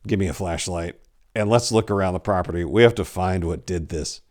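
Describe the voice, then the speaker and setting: A man's voice: deep voice, brisk, a little mellow, natural voice. Man acting an urgent, insistent young priest. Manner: urgent, emphatic. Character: earnest young male priest, jumpy and insistent.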